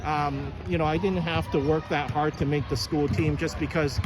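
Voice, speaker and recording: A man doing a casual interview in a halting, hesitant way, often repeating and restarting his phrases. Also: on the high side, steady tone. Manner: halting, hesitant, repetitive delivery. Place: indoor basketball gym. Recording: noisy gym, bouncing balls behind.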